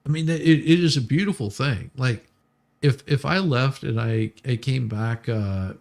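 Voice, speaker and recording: A man chatting casually as a live streamer, explaining things haltingly and often repeating his words. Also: mid-range voice, creaky, darkish tone. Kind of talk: casual chat on a livestream.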